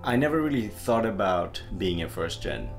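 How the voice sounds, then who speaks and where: Deep gravelly young man, hesitant. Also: very animated, moderate pace, over music. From Bangladesh. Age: young adult. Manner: hesitant, reflective, with restarts. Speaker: graduate student. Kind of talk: documentary interview. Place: small bedroom indoors.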